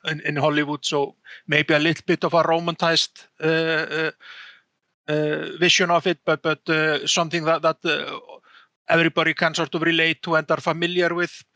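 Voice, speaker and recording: A man being interviewed about game design, talking haltingly with many repeated words and restarts. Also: on the high side, little pitch movement, bright, forceful tone, no echo, studio quality. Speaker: game design director guest. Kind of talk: casual podcast interview.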